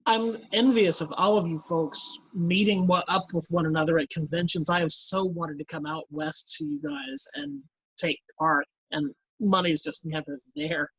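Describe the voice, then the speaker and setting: A man from the US East Coast with a husky voice, chatting casually on a group video call. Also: fairly high pitch, steady tone, normal speed, fairly bright tone, dry recording, highs cut off. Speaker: audio drama cast member. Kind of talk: casual chat on a group live stream.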